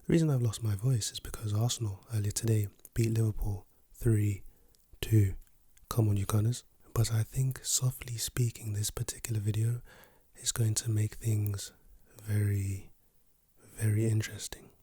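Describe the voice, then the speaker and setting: Hoarse soft-spoken man, close and husky. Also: on the low side, fairly even intonation, normal speed, no echo. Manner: playful, teasing, repeats words softly. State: lost voice, hoarse. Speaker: ASMR creator. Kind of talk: soft-spoken and whispered ASMR.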